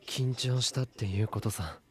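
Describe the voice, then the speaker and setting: Man acting a husky, brooding young man. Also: fast, close to an everyday voice. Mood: guarded. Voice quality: low, husky, breathy. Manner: terse, restrained. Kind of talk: anime visual novel voice acting.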